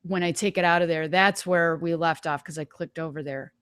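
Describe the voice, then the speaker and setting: A woman hosting a webinar, giving a casual live software demonstration in an informal, folksy way, thinking aloud. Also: lowish voice, fairly even intonation, a little fast, clear, resonant tone, no echo, studio quality. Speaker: webinar host.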